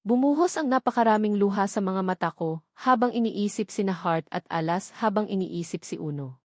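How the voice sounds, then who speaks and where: A woman's voice: mid-range voice, brisk, darkish tone, no room sound, studio quality. A woman reading a dramatic first-person story as a scripted narrator. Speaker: narrator of a romance novel.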